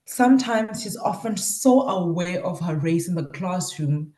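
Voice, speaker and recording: A woman's voice: fairly low pitch, expressive, unhurried, warm tone. A South African woman lecturer giving a reflective academic talk with personal anecdotes. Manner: reflective, acts out quoted remarks. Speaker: university lecturer presenting research. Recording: roomy, echoing sound.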